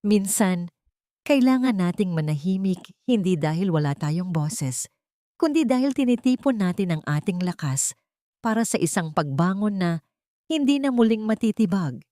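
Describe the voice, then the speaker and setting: A woman narrator voicing a composed, resilient businesswoman in a story, calm, sincere and deliberate. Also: mid-range voice, dry recording, clean studio sound, natural voice. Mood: calm, encouraging. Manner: calm, warm, deliberate public-speaker delivery.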